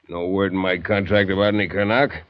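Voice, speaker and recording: An actor playing a greedy, callous schooner captain with smug offhand cruelty in an old-time radio drama. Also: male voice, low-pitched, natural voice. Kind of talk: golden-age radio drama acting. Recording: muffled vintage radio recording.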